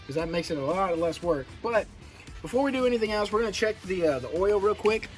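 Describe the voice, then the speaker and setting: The American guy with the high voice in a homemade car-project video, chatting casually to viewers with music playing clearly behind him. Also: expressive, brisk. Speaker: vlog host.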